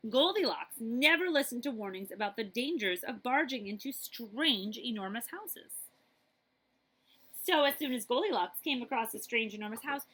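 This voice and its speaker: A woman reads a picture book aloud in a high, bright voice with lively, swooping intonation. She is expressive and chatty, stepping out of the text to talk to young listeners. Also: rough recording. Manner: animated, chatty, pausing to ask listeners questions. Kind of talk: expressive children's read-aloud with asides.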